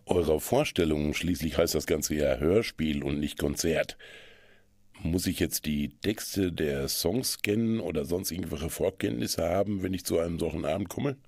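Deep-voiced male radio presenter, dry studio sound. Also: a little mellow, no room sound. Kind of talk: scripted radio moderation.

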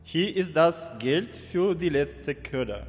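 A man playing a scheming, cold and menacing village vet in an amateur radio play, speaking deliberately. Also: on the high side, expressive, big-room sound, faint music behind. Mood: cold, threatening.

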